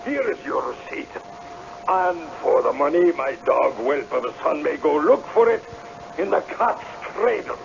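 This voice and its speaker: A commanding old laird, crafty and blustering. The voice is gravelly and swoops widely in pitch, in the manner of old radio theatre. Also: male voice, sing-song, measured pace, full character voice. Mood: sly, commanding. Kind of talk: old-time radio drama acting. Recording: dull, hissy vintage radio broadcast.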